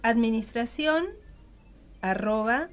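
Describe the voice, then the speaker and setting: A woman's voice: fairly high pitch, expressive, slow. A middle-aged woman with an Argentine Spanish accent, speaking casually as a guest in a radio interview. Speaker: guest, magazine editor and author.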